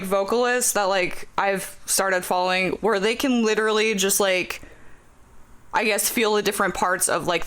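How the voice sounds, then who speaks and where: A man's voice: sits high, bright, forceful tone, clean tone. Male podcast guest, rambling casual chat, lots of filler, laughs often. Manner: rambling, full of "like", frequent laughs. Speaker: podcast guest, music fan.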